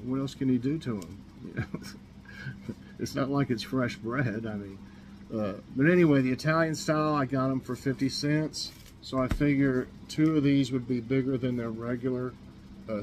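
A rambling, chatty middle-aged man talking straight into a close webcam in a small kitchen. His pitch sits right in the middle, neither deep nor high, and he fills his sentences with ums and restarts. A faint hum of background noise runs under his voice. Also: a little mellow. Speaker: vlogger showing a grocery haul. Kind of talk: casual chat to camera.

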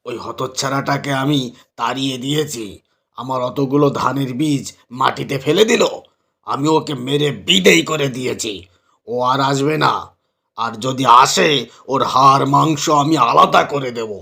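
Gravelly, blustering village father, acted by a man. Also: medium pitch, normal speed, bright, forceful tone. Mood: angry. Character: harsh, blustering village father, cowardly underneath. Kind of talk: Bengali cartoon dialogue.

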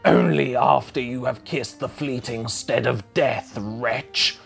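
A man's voice: mid-range voice, takes it slowly, gravelly, over music. A man voicing a scowling, mocking barbarian warrior, boastful and declamatory, in a fantasy story. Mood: scornful.

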